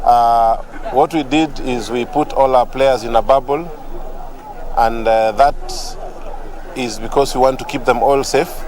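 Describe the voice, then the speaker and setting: A man, a sports federation official, speaking deliberately with frequent fillers in an interview. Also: medium pitch, fairly even intonation, a little mellow. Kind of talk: interview statement. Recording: outdoor crowd noise behind.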